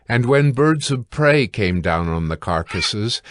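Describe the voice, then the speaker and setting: A man narrating scripture from a script in a measured, formal reading manner. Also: fairly low pitch, animated, a bit bright, no room sound, studio quality.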